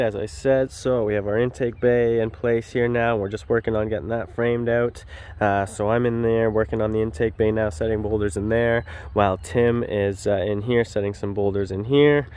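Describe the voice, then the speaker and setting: A man's voice: lowish voice, darkish tone. A young man, a pond builder, casually walking through an outdoor backyard job site and explaining the work, with frequent "uh" fillers, filmed on a handheld camera at arm's length. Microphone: handheld wide-angle camera at arm's length.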